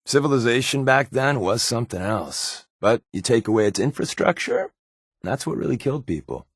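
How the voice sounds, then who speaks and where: A man's voice: medium pitch, expressive, normal speed, husky, no room sound, studio quality. A man playing a wry, laid-back spy companion in a post-apocalyptic video game, given to sardonic asides. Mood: subdued, somber.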